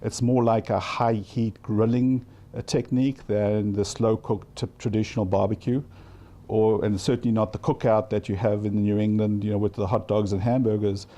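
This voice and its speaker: A man's voice: lowish voice, little pitch movement, normal speed, mellow. A middle-aged man with a South African accent. He talks thoughtfully in an interview and often restarts and repeats words. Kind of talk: casual interview.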